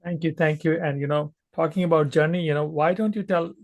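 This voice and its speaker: A man hosting a podcast, who asks questions in a measured way with many fillers. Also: on the high side, steady tone. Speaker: podcast host. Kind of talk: podcast interviewing.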